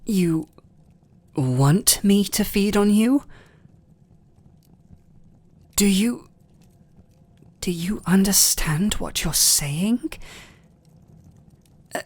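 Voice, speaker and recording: Man voicing vampire lord, British accent, very slow, husky and breathy, tender, conflicted, formal, intimate ASMR roleplay. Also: high voice, lively intonation, a little mellow, heavily acted. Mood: anxious, tender. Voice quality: breathy, often near a whisper. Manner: slow, halting, formal aristocratic phrasing.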